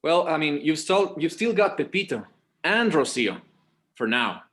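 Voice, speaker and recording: Guy playing a fed up dutiful son around forty, bit high pitched, hesitant, natural table read. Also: big pitch swings, measured pace, fairly bright tone. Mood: weary, pleading. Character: exasperated, dutiful forty-year-old son.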